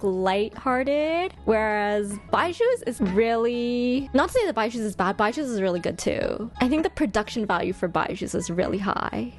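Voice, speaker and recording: A woman streamer chatting casually with her viewers, commenting in an expressive, gushing way and thinking her choices through out loud. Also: on the high side. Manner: expressive, gushing, deliberating aloud. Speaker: game streamer and host.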